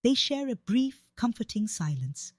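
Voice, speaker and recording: A woman's voice: a little high, big pitch swings, unhurried, no echo, studio quality. A woman reading a scripted dramatic story as a storyteller.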